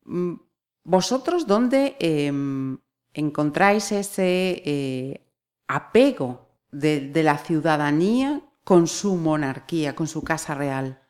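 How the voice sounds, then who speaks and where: Woman radio host, lowish voice, supportive interviewer. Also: animated, slow pace, darkish tone. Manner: supportive, finishes the guest's sentences.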